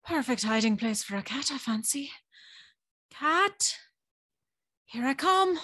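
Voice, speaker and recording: A woman's voice: sits high, animated, takes it slowly, bright, forceful tone, slightly rough, dry recording, studio quality, exaggerated voice. An actress playing a timid, curious, polite young servant girl in an audio drama, telling her story in a hesitant, wondering way. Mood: nervous, curious. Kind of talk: audio drama acting with first-person storytelling.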